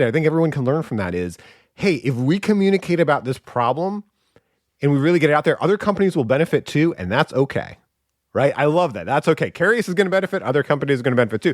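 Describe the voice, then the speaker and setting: A man's voice: medium pitch, no echo, clean studio sound. A man hosting a podcast interview, talking in an enthusiastic, conversational way as he reacts to his guest. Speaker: podcast host.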